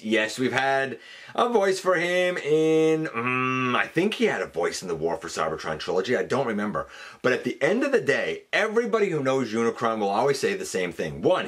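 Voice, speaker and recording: A man's voice: mid-range voice, a bit bright. A man hosting a fan countdown, giving casual, enthusiastic and emphatic commentary. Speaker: host of a fan countdown show.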